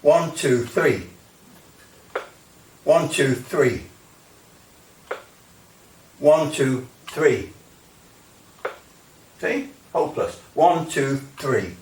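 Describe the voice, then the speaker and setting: A man's voice: on the high side, expressive, very slow, long pauses, a little mellow. A man giving a casual hands-on demonstration of radio equipment, rambling and hesitant with grumbling asides. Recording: roomy, distant mic, faint noise.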